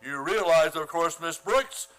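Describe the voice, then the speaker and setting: A man acts out a blustering, imperious school principal for an old-time radio comedy. His voice is high for a man and sharply forceful, with pompous, formal diction. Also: a little slow, poor audio. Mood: smugly menacing. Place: theater stage, radio play performance.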